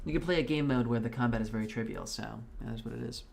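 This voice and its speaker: A man's voice: medium pitch, fast talker, gravelly, a little hiss or hum. A man from Belarus whose first language is Russian, chatting casually and with plenty of swearing while streaming a game. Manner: rambling and profane, with many restarts. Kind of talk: casual live-stream chat while gaming.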